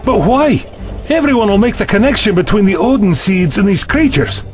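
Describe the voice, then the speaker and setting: A man as sceptical higher-voiced human sidekick. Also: expressive, normal speed, soft background music. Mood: worried. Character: male human ally, sceptical, plain-spoken, anxious. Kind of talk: audio drama acting.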